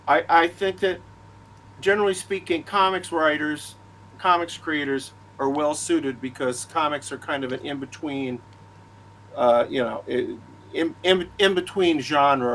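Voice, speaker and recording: Halting man, slightly high-pitched, in casual discussion. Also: a bit bright, slight background noise, rough recording. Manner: halting, repeats words as he gathers thoughts. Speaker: author guest. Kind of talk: casual discussion of film and writing.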